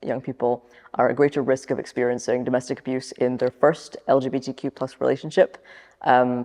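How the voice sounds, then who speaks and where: A young woman with a low, gravelly voice explains training material semi-scripted. Her intonation stays flat and even, and the room rings with long reverb. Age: young adult. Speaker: youth presenter in a training film.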